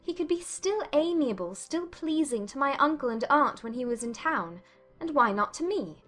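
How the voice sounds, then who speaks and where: A woman's voice: high-pitched, animated, moderate pace, fairly bright tone, faint music behind, voice pushed up. A woman voicing a proud, vexed and lovelorn young lady, speaking her thoughts dramatically in a period novel audiobook. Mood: vexed, hurt. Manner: dramatic, exclaiming inner monologue.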